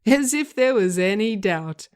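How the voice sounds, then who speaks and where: A woman's voice: mid-range voice, expressive, a little slow, darkish tone, clean tone, pitched above the performer's own voice, natural voice. A woman voicing a showy, gleefully goading male reality TV host with announcer-like suspense in a scripted reading. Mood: mock suspense.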